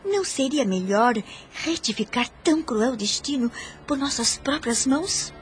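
A young noblewoman villain in a radio soap, jealous and calculating. Her fairly high voice is theatrical and conspiratorial, set over music. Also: expressive, a little slow, slight background noise. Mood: coaxing. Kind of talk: radio soap opera acting. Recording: music under the voice.

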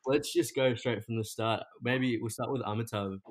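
A man's voice: mid-range voice, fairly even intonation, normal speed. A man co-hosting a podcast, asking questions in an easygoing chat. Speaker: podcast co-host. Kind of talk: casual interview chat.

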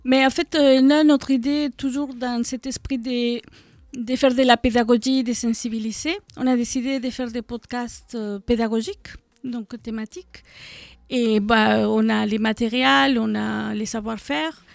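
A woman's voice: fairly high pitch, steady tone, normal speed, creaky, no room sound. A middle-aged woman from Mexico with a Mexican Spanish accent, a guest in a live radio studio interview, talking hesitantly with many fillers. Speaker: guest, association co-founder.

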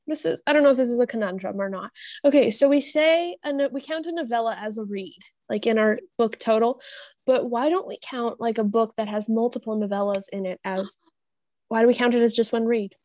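A woman's voice: on the high side, lively intonation, a little fast, dry recording, clean studio sound. A woman chatting casually on a podcast panel in a playful, teasing way. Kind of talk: casual chat.

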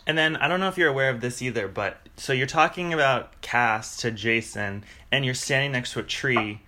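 A man hosting a podcast, asking relaxed, curious questions with casual fillers. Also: medium pitch, fairly quick. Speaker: podcast host. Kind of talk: casual interview.

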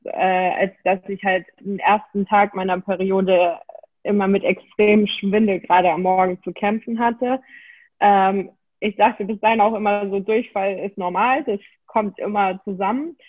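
A woman in her late twenties speaks as an interview guest, relaxed and hesitant, with frequent fillers. Her voice sits at a middle pitch. It comes through a narrow, tinny phone-like line. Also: unhurried. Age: 20s. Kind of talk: casual interview talk.

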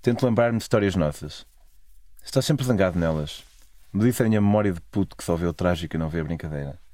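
A man's voice: sits low, quick pace, mellow, dry recording. A man with a European Portuguese accent narrating a scripted, literary first-person travel story. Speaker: narrator of own travel stories.

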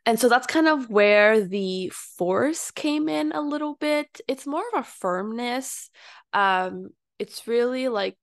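A young woman talks through her thoughts on her own podcast at an unhurried pace, soft-spoken and often restarting a sentence. Her voice sits in a middle register. The recording is close and dry, with almost no room sound. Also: medium pitch, no echo. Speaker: podcast host. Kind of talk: reflective solo podcast monologue.

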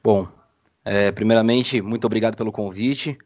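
A man's voice: fairly low pitch, steady tone, moderate pace, a little mellow. A man with a São Paulo Brazilian accent, chatting casually as a guest on a podcast. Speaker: podcast guest, audio editor. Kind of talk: casual chat.

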